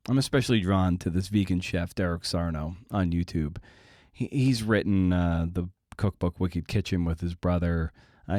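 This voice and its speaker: A middle-aged man with a deep voice talks as a podcast host. His delivery is casual and rambling, with a sardonic, self-deprecating edge and some blunt swearing. The sound is very dry, free of room echo. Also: a little mellow.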